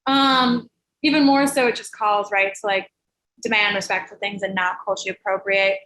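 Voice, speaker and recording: A woman's voice: medium pitch, steady tone, normal speed, fairly bright tone, slight background noise, low-quality recording. A woman hosting an online meetup, chatting casually with many "like" fillers. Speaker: meetup host. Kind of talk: casual group video chat.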